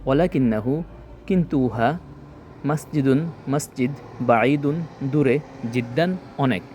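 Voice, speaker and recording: A man's voice: medium pitch, unhurried, a little mellow, a little hiss or hum. A man giving a scripted language lesson, didactically translating phrase by phrase in small chunks. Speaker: language teacher.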